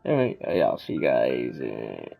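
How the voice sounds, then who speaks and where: Gravelly, swooping male gamer. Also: extremely low pitch, wildly swooping pitch, moderate pace, mellow, faint music behind. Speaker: gaming video host. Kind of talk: casual game commentary.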